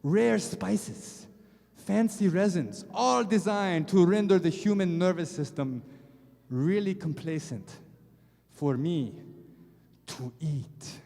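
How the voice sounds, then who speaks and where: High, gravelly zombie showman puppet. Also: mellow, exaggerated voice. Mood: sly, menacing. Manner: mock-grandiose, menacing, drawn-out delivery. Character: gleeful flesh-eating zombie lord puppet, male, showman. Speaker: puppet show performer and singer. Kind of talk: theatrical comic monologue and song. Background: music.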